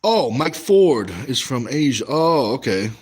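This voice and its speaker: A man's voice: mid-range voice, very animated, measured pace, slightly rough. A young American man hosting a casual livestream, reading chat and rambling through stories with lots of fillers and restarts. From West Coast of the US.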